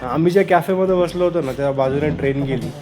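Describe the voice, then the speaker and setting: A man's voice: fairly high pitch, fast, mellow, faint music behind. A man narrating a travel vlog in a casual, chatty and explanatory way. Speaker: travel vlogger.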